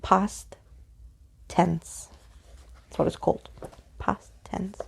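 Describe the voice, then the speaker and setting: A woman reading a story aloud as a soft-spoken, unhurried and expressive ASMR storyteller. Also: fairly low pitch, creaky, slight background noise.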